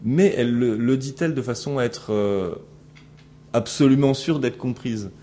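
A man's voice: mid-range voice, moderate pace, warm tone, a little hiss or hum. Hesitant man lecturing a class. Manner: hesitant, many fillers and restarts, asks the class questions. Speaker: teacher. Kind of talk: classroom lecture analyzing a recorded interaction.

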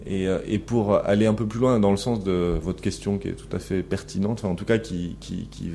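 A middle-aged man teaches a class in a low, mellow voice. He talks haltingly, restarting his phrases and filling pauses as he puts questions to the room. A faint hum sits under the recording. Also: steady tone, vocal fry. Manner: hesitant, many fillers and restarts, asks the class questions. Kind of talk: classroom lecture analyzing a recorded interaction.

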